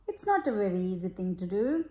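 A woman reading a storybook aloud to a child in an expressive, deliberate way, pausing to ask questions. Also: medium pitch. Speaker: picture-book reader to a child. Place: room at home, at a glass table. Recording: echoey room.

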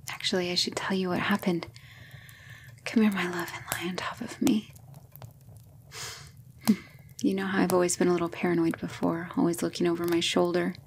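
A woman performs as a battle-hardened female knight devoted to a lover, in an intimate ASMR roleplay. The voice sits a little low and is breathy, with plenty of creak. The delivery is hushed and emotional, over faint background noise. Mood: relieved, tender.

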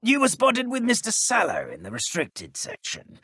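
An actor playing a knowing ghost at a wizard school in a video game. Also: male voice, high-pitched, wildly swooping pitch, moderate pace, pushed and bright, breathy edge, no room sound, studio quality, full character voice. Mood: knowing, pointed. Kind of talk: scripted video game dialogue.

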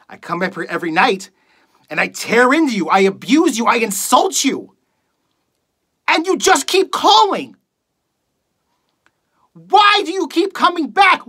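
A performer playing a bitter, contemptuous late-night talk radio host in a scornful, theatrical monologue. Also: breathy edge. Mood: furious, desperate. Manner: scornful, accusatory, building from low muttering to shouting.

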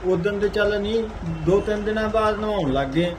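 A high-voiced man with a Punjabi accent argues heatedly in plain rustic speech. He complains insistently and swears freely. It is a phone call recording with noise behind him. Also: a little fast. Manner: complaining, insistent, coarse-mouthed. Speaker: aggrieved buyer on a phone call.

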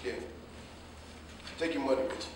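A man's voice: normal speed, slight background noise, close to an everyday voice. An American man acting a stern, proud older father, scolding and bitterly disappointed, in a staged play reading. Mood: gruff, curt. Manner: scolding, reproachful. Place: indoor staged reading, recorded from afar. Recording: echoey stage, distant microphone.

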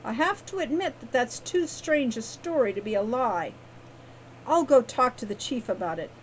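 A woman voicing an irritable, sarcastic male thief in a storybook tale, scoffing and deadpan. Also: on the high side, moderate pace, close to an everyday voice. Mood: reserved, firm. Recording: clearly noisy background.

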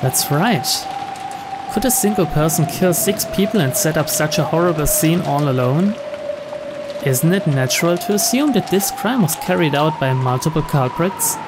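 A man's voice: on the high side, lively intonation, takes it slowly. A man reading scripted story narration aloud. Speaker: reader of on-screen story text. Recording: clear rain noise behind.

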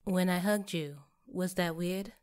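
A woman's voice: on the low side, fairly even intonation, a little slow, a little mellow, clear, resonant tone, lightly acted. A woman playing a blunt, caring young coworker in an audio drama, talking casually and reassuringly. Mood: tender, concerned.

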